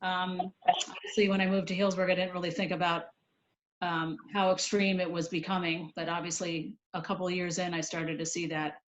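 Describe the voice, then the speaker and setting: A woman's voice: on the low side, flat delivery, normal speed. A woman from Ecuador introducing herself informally as a political candidate in an online meeting. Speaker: city council candidate. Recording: dull, noisy online call with clicks.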